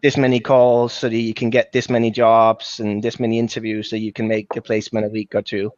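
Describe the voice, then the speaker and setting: A man's voice: on the low side, completely flat delivery, brisk, a little mellow, no echo. Danish-accented man on a dull web call. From Denmark. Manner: loose, rambling storytelling with many fillers. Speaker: guest, talent sourcer. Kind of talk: casual video chat. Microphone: video call.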